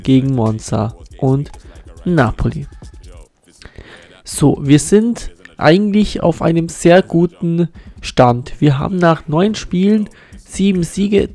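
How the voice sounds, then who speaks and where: A man's voice: fairly high pitch, warm tone. A man with a cold and a blocked nose, commenting on a football video game he is playing, recorded on a USB condenser mic at home. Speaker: gaming YouTuber.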